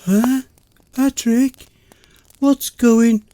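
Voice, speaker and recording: A man's voice: steady tone, very slow, mellow, dry recording, exaggerated voice. A man voicing a scared young woman camper. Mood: confused. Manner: shaky, pleading, high-pitched.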